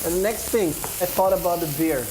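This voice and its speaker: A man hosting a cooking show, chatting with and interviewing his guest, a bit halting when he switches to English. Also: sits high, background noise. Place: kitchen studio. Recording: echoey studio kitchen.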